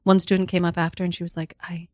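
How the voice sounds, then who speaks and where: A woman's voice: lowish voice, little pitch movement, quick pace, no echo, studio quality. A woman speaking as a podcast guest, telling her story casually and playfully, often repeating phrases for emphasis. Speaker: guest, mime performer.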